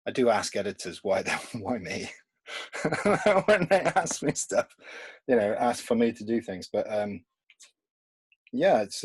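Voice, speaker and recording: Husky-voiced man, laughing often. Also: fairly low pitch, no room sound. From England. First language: Polish. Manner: rambling, laughs often between thoughts. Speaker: comics artist guest. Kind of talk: casual remote podcast interview.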